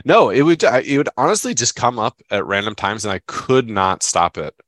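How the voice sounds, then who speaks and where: A man's voice: mid-range voice, expressive, moderate pace, fairly bright tone, dry recording. A man co-hosting a podcast in casual chat, sounding stuffed up and talking in an enthusiastic, rambling way. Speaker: podcast co-host.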